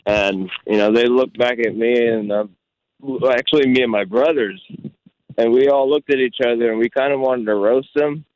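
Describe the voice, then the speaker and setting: A man's voice: mid-range voice, no echo. A man phoning in to a radio show, casually telling a story. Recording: dull, band-limited phone call.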